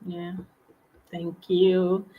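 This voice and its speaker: A woman hosting, soft-spoken and agreeable, reading out audience questions in a casual chat. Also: fairly low pitch, monotone, extremely slow, narrow, tinny audio. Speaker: interview host.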